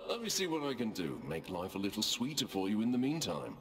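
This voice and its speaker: An actor playing a merry, laid-back young god of wine in a video game, easygoing and slangy. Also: male voice, fairly low pitch, very animated, quick pace. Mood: relaxed, friendly. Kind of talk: video game character voice acting.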